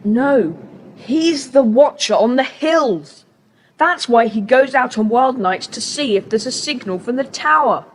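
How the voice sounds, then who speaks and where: A confident British boy takes charge of his young companions in a children's audio adventure. The voice is fairly high, crisp and authoritative, set in long reverb with faint tape hiss. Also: expressive, a little slow. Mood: explaining, assured. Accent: British English. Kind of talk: children's audiobook drama acting.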